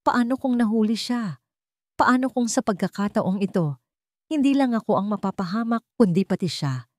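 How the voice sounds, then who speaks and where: A woman's voice: mid-range voice, lively intonation, moderate pace, darkish tone, no room sound, studio quality, voice pushed up, lightly acted. A woman playing an anxious, vengeful young heroine in an audiobook drama, urgent and emotional. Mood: anxious, pleading.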